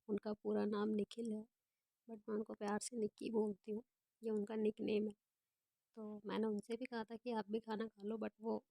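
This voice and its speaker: A young woman with a hoarse voice from a cold, chatting casually as she walks through her evening kitchen routine step by step. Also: medium pitch, mellow, no echo. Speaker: home vlogger. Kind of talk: casual voice-over narration of home chores.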